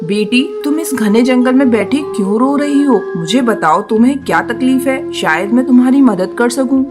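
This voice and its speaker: A woman acts out a kindly, motherly cartoon sorceress. Her voice is a little high, gentle and reassuring. Music plays clearly underneath. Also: normal speed, lightly acted. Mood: kindly concern. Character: kindly motherly female figure, sorceress or elder bird. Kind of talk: dubbed cartoon story acting. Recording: music behind the voice.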